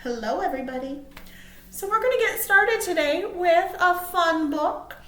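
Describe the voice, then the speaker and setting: A woman reading aloud to small children as a storytime host, cheerful and expressive. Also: extremely high pitch, moderate pace. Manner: cheerful, expressive, asks listeners questions. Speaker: children's librarian hosting storytime. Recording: roomy, echoey sound.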